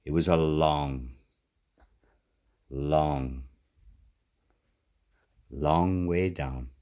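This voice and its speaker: Deep-voiced man narrating a children's story. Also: extremely slow, warm tone, clean tone, muffled recording. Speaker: author reading own children's book.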